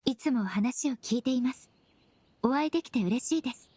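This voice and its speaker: A woman playing a composed young female investigator in an anime game, speaking with gentle, formal, measured politeness. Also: high voice, no echo, clean studio sound. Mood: calm, courteous.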